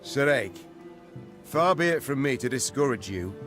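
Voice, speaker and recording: An actor playing a loyal, cautious royal officer in a game, polite, formal and measured. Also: male voice, mid-range voice, lively intonation, fairly bright tone, faint music behind. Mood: courteous, cautioning. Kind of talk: dramatic scripted game dialogue.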